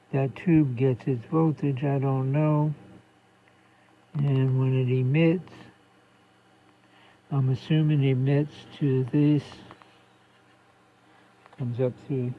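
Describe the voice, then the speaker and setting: A man talking casually through a hands-on repair demonstration, halting as he thinks aloud, recorded on a phone camera. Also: medium pitch, steady tone. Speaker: radio repair hobbyist. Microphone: phone camera propped near the workbench. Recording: lo-fi phone recording with faint noise.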